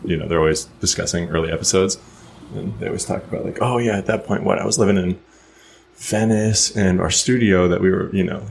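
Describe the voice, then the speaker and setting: Gravelly, deep-voiced man, teasing. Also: steady tone, a little fast, a little hiss or hum. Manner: enthusiastic, teasing. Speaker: podcast co-host. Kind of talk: casual chat.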